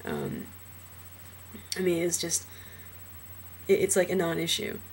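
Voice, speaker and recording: A young transgender man in a casual personal vlog, talking hesitantly with many fillers and restarts, his trained low voice deepened further by a cold. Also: huge pitch swings, slow, slight background noise. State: voice lowered by illness.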